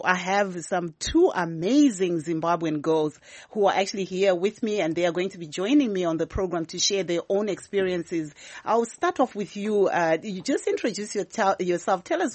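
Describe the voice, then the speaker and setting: A woman's voice: lowish voice, lively intonation, moderate pace. A woman hosting a studio program, introducing guests and prompting them with questions. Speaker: radio program host.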